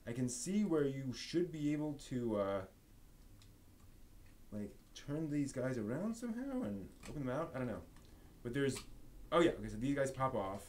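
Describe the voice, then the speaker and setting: A man's voice: medium pitch, very animated, moderate pace, a little mellow. A man hosting a casual live show-and-tell stream, rambling and thinking aloud as he handles things. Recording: noisy live stream audio.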